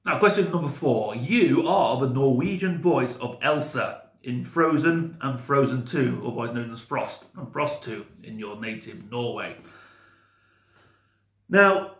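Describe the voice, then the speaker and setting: Host, man in his 40s, measured and unhurried, roomy home-desk webcam sound. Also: mid-range voice, expressive, a bit bright. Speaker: interviewer host. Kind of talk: reading scripted interview questions. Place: home room with Christmas decorations. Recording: echoey room.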